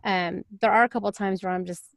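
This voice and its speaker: A woman's voice: medium pitch, fairly even intonation, fast, no room sound. A woman talking casually and chattily as an audiobook narrator on a panel.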